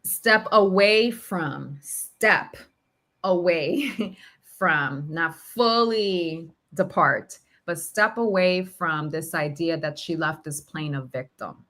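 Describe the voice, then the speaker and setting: A woman's voice: on the low side, slow, a bit bright. A woman in candid, reflective conversation, thinking aloud emphatically in short, broken phrases. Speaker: podcast host sharing personal reflections on grief.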